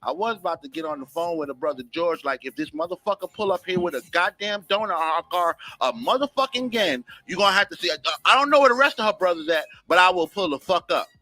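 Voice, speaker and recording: Lively, bright-voiced radio man. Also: high-pitched, fast, few pauses, dry recording, faint music behind. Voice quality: big voice. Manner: profane, animated, mocking impressions, laughs often. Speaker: radio co-host. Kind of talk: casual morning radio banter.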